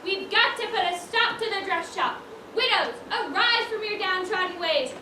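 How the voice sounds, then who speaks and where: A woman's voice: very high-pitched, expressive, moderate pace, pushed and bright, exaggerated voice. A woman on stage playing a prim, wistful widowed dress-shop owner, full of old-fashioned exclamations. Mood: grandly oratorical. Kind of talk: amateur stage acting, one-act school play. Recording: roomy stage, distant mic, clear noise.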